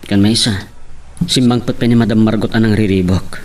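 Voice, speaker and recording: An actor as a devoted young sweetheart in a Filipino radio love story. His voice is fairly low, with an Ilocano accent and a sincere, reassuring manner. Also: steady tone, fairly quick, slight background noise, lightly acted. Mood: thoughtful. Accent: Ilocano, Philippines. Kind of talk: radio romance drama acting.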